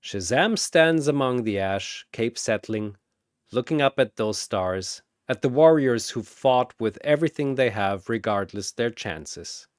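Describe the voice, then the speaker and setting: Slow, expressive male story narrator. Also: mid-range voice, dry recording, studio quality. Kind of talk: dramatic scripted story narration.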